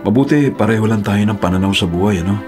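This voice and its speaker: A man's voice: on the low side, fast, over music, lightly acted. An actor playing a kind, generous male moneylender with a courteous, reassuring manner in a Filipino radio drama. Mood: compassionate.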